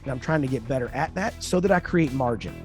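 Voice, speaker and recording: A man in his mid fifties telling a story in casual podcast chat, animated, acting out quotes and laughing often. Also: medium pitch, fast, music in the background. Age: 50s. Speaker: podcast host.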